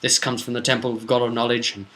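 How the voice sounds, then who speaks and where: A man's voice: medium pitch, completely flat delivery, fairly bright tone, close to an everyday voice. Insistent temple brother played by a man. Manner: halting, explanatory, insistent. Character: hurried male temple brother, persuasive, secretly disguised impostor.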